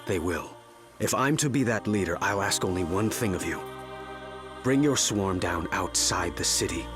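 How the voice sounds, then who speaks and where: A principled human leader in a video game cinematic. His low voice is measured and earnest, quietly firm, with clear music behind it. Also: expressive.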